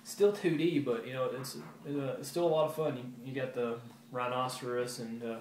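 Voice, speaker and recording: A man giving a casual, halting and rambling show-and-tell update to his viewers, like a hobby video game vlogger. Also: mid-range voice, fairly even intonation, a little mellow. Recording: roomy echo with background hiss.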